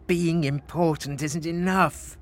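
A man's voice: high-pitched, expressive, unhurried, a bit bright, a little hiss or hum, voice pushed up. A man voicing a greedy, discontented storybook husband in a whiny, emphatic manner. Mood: whining.

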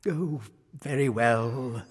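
A blustery but easygoing storybook king acted out by a man. He muses and exclaims heartily in a raised, lively voice, taking his time over his words. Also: high voice, takes it slowly, breathy edge, big-room sound, voice pushed up, heavily acted. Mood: resigned, regal. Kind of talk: children's storybook character voice.

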